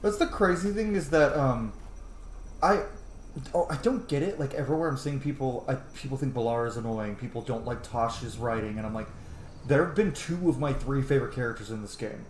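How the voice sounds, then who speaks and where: A man's voice: medium pitch, a little fast. A man streaming a video game, giving casual, rambling, opinionated let's-play commentary in a conversational way. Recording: echoey room with noise behind.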